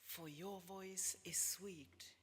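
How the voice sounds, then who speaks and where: A woman gives a devotional reading of poetic scripture, hushed and measured, with long pauses between phrases. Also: lowish voice, steady tone, slight background noise. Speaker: scripture reader. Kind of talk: slow devotional poetry reading. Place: large hall during a church gathering. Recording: echoey hall, long reverb.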